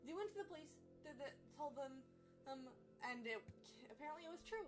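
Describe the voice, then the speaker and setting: A woman's voice: high-pitched, lively intonation, a little fast, over music, low-quality recording. A young woman co-host chatting informally and emphatically about paranormal stories, often repeating herself.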